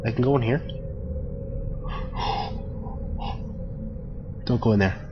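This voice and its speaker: A man hosting a horror game playthrough, gravelly and a bit hoarse from allergies, joking and talking back to the game. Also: mid-range voice, extremely slow, background noise. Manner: jokey, talks to the game, gasps at scares. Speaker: gaming channel host. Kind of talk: casual horror game commentary. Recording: dull lo-fi audio with game music. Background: game music.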